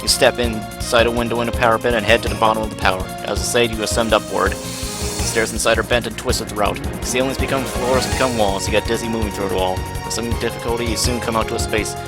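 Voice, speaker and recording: A man's voice: on the low side, steady tone, fast talker. A man reading a game's story aloud on a live stream, with casual asides and the odd stumble over words. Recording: game music behind the voice. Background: game music.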